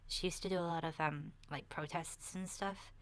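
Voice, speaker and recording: A woman voicing a chatty, candid young girl surviving a zombie apocalypse in a soft, breathy, half-whispered ASMR roleplay, talking in a hesitant, rambling way. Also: lowish voice, fairly even intonation, normal speed, lightly acted. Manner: hesitant, rambling, youthful casual phrasing.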